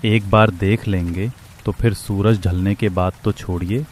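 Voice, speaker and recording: A deep, low-voiced village tea seller played by a man in a horror cartoon. He sounds knowing and chiding, with an ominous edge. Rain noise runs faintly behind. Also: fairly even intonation, unhurried, a little mellow. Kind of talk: animated horror story dialogue.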